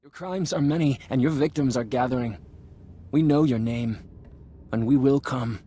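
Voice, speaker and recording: A man's voice: medium pitch, moderate pace, noisy background, lightly acted. An actor playing a proud young nobleman in a video game, formal and declamatory as he accuses and vows vengeance. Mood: vengeful.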